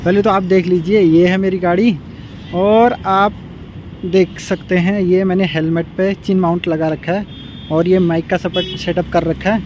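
A man's voice: high voice, level tone, normal speed, a little mellow, dull audio. A young man chatting casually to camera as a motovlogger, explaining each step to his viewers, recorded outdoors on a phone and then on a helmet-mounted camera. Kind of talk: casual vlog talk to camera. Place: outdoors by a city roadside, later riding a motorbike. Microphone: phone camera, then helmet-mounted action camera. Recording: noisy street recording with traffic and wind. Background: traffic and vehicle noise.

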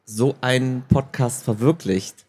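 A man with a slightly husky voice moderating a casual live interview, putting questions to guests. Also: mid-range voice, measured pace, a little mellow. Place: small stage at a book fair. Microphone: handheld microphone.

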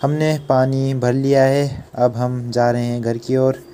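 A man's voice: mid-range voice, little pitch movement, measured pace, dark tone, slight background noise. A young man from the hills of northern India, narrating a casual travel vlog on a phone held at arm's length outdoors and in a car, talking chattily to viewers. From Uttarakhand hills, India. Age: young adult. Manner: chatty, addresses viewers directly. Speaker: vlogger. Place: outdoors on hill roads and in a car. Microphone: handheld phone camera at arm's length.

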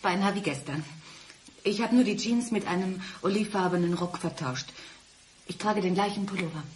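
A woman's voice: medium pitch, normal speed, a little hiss or hum, lightly acted. An actress playing an uneasy, guarded woman afraid of her unstable ex, speaking hesitantly in a radio crime drama.

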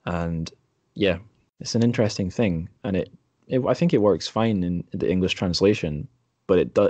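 A man's voice: low voice, no room sound. A man hosting a podcast interview in a casual, conversational way. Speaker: podcast host.

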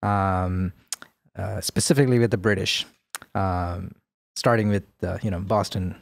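A man in his forties, born in India, answers interview questions in a measured, thoughtful way, often pausing on fillers. His voice sits low and sounds dry and close on the studio boom mic. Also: fairly even intonation, gravelly, no room sound. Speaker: guest. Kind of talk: podcast interview. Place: studio room with plants. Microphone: boom mic with headphones.

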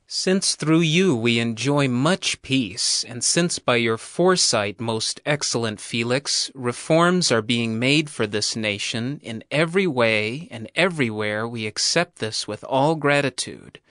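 An actor playing a flattering, accusing spokesman addressing a governor in a slow, unctuous, formal manner. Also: mid-range voice, dry recording, studio quality. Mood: flattering, deferential. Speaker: actor in an audio Bible drama. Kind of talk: formal dramatized oratory.

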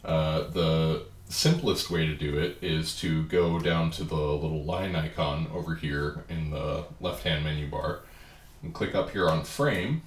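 A man with a stuffy head cold, calmly walking through a drawing program step by step in a tutorial. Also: rumbling low, measured pace, roomy sound. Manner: relaxed, plain step-by-step explaining. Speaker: comic artist giving a software tutorial. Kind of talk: screen-recorded software walkthrough.

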